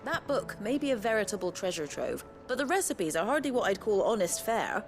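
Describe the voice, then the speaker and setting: A woman's voice: mid-range voice, big pitch swings, brisk, over music. A woman playing a down-to-earth, teasing cook in a fantasy video game. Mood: sceptical. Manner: wry, plain-spoken.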